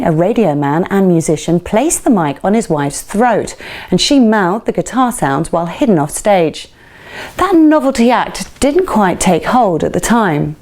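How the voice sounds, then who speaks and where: A woman presenter reading a scripted radio-style feature in a measured, presenterly way. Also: fairly low pitch, a little mellow. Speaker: presenter of a rock music feature.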